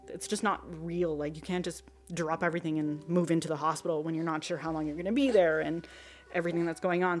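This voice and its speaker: A young woman and new mother telling her pregnancy story in a relaxed interview, with many fillers and restarts. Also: lowish voice. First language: not French. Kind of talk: personal testimony interview.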